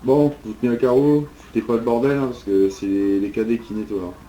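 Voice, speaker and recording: A man with a very dark, mellow voice plays a police officer in improvised game roleplay. He talks in a gruff, colloquial way, and the sound is roomy and echoing. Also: mid-range voice, steady tone, a little fast. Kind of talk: casual improvised roleplay dialogue. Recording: roomy echo on the voice.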